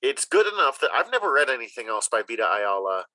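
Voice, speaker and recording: A man hosts a casual podcast in a very bright, forceful voice. The sound is dry, with hardly any room around it. He talks informally and with enthusiasm, swearing now and then. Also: medium pitch, very animated, quick pace, no room sound, poor audio. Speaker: podcast host. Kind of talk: casual chat, giving recommendations.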